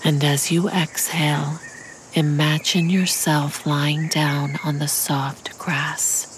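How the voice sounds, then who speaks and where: A woman narrating a guided meditation in a calm, soothing, measured way, with a soft, breathy voice that often sinks to a near-whisper. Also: low voice, background noise. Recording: birdsong and forest ambience behind. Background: birdsong, insects, nature ambience.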